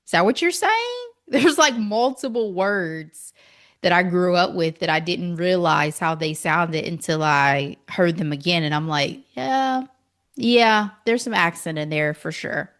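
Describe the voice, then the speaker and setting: A woman's voice: on the low side, lively intonation, measured pace, fairly bright tone. A woman from Ohio with a slight Southern country twang, co-hosting a chatty podcast with dry humor. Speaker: podcast co-host. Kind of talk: casual pop-culture chat.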